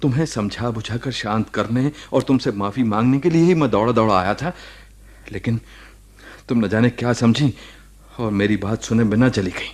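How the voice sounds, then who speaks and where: A man acting an ailing married former boss in a radio play, defensive and earnest, speaking haltingly to justify himself. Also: medium pitch, darkish tone, natural voice. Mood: earnest, explaining. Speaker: radio drama actor.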